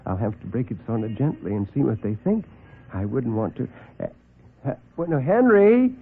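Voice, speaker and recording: A man's voice: mid-range voice, big pitch swings, moderate pace, vocal fry, a little hiss or hum. A man playing a meek, flustered, kindly fellow who stammers and fusses, in a classic radio drama. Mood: anxious. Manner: stammering, fussy, breathless exclamations. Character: meek, flustered, kindly man devoted to his unseen friends. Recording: muffled vintage radio recording with music.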